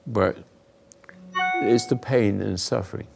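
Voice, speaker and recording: An older man hosting a talk, giving rambling, earnest moral teaching to a live group, often dropping into hushed asides. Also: fairly low pitch, takes it slowly, music in the background. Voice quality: gravelly, husky. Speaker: host and spiritual counselor.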